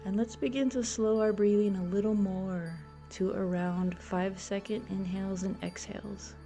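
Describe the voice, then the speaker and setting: A woman guides a meditation in a mellow voice with a noticeably creaky edge. Her delivery is gentle and unhurried, encouraging the listener step by step, with music playing behind her. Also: mid-range voice, steady tone. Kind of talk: guided meditation, soft instructional narration.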